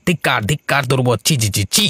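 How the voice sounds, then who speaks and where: A man's voice: mid-range voice, animated, fast talker, pushed and bright, husky. A man voicing a selfish, scheming village father in a cartoon, berating and sneering. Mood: scolding. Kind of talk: animated cartoon voice acting. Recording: faint music behind the voice.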